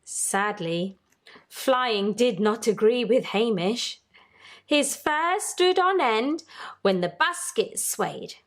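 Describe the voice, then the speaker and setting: A woman's voice: a little high, lively intonation, slow pace. A woman reading a children's storybook aloud as host, narrating expressively for young listeners.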